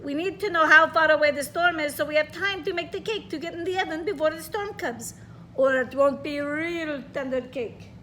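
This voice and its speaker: Old Russian grandma, high and bright, coaxing, a woman reading puts her on. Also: fairly even intonation, normal speed, a little hiss or hum. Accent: Russian, put on imperfectly. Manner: coaxing, firm, urgent storytelling voice.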